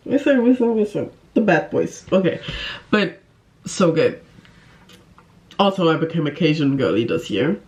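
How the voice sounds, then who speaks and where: A woman's voice: fairly low pitch, darkish tone. A woman book vlogger chatting casually to camera in a gushing, giggly, emphatic way.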